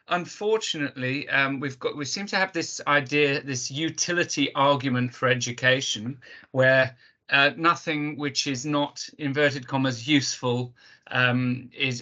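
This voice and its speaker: A man in the audience with a loud voice, talking at length and rambling with many fillers over a video call. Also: medium pitch. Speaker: audience member. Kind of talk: question from the audience. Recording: dull lo-fi video call audio.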